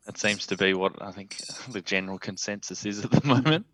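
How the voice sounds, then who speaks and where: A man's voice: fairly low pitch, fairly quick, a bit bright, a little hiss or hum, low-quality recording. A man hosting a ham radio video call, easygoing and brief, passing the floor to each speaker. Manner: brief, easygoing, laughs readily. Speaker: host.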